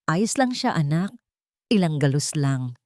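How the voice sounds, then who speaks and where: A woman's voice: low-pitched, big pitch swings, a little mellow, no room sound, clean studio sound. Woman voicing a grave, worried older father. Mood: grave reassurance. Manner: heavy, halting with concern.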